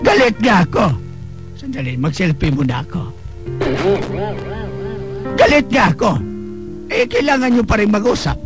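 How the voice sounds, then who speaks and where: A man's voice: high-pitched, big pitch swings, moderate pace, long pauses. A man playing an exasperated, comic older father in a radio drama, nagging and flippant with exaggerated delivery. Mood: comic, irritated. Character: exasperated, comic older father and grandfather scolding his daughter. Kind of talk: Filipino radio drama acting. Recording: loud music bed with cartoon effects.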